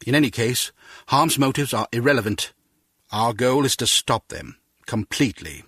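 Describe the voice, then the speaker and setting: Husky, commanding spy chief. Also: male voice, mid-range voice, expressive, a bit bright, no room sound, studio quality. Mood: grave resolve. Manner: measured, formal, resolute. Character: grave, authoritative male spy agency chief. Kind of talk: scripted spy video game dialogue.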